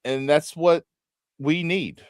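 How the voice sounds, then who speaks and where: A man's voice: fairly high pitch, takes it slowly, no echo, studio quality. A man on a gaming podcast panel, rambling and opinionated, often trailing off mid-sentence. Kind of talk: casual panel chat.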